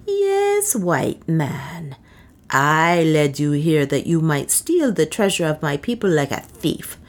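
A woman's voice: mid-range voice, huge pitch swings, extremely slow, darkish tone, pitched above the performer's own voice, full character voice. A woman acting a bitter, accusing serving woman. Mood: furious, resentful. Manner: satirical, glaring, accusing. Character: bitter, sardonic, resentful native serving woman. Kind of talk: audiobook character acting.

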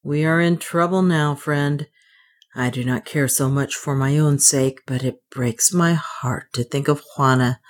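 A woman's voice: sits low, unhurried. A woman reading an audiobook, voicing a determined Englishman who talks earnestly and resolutely. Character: adult Englishman Leonard, steady and determined.

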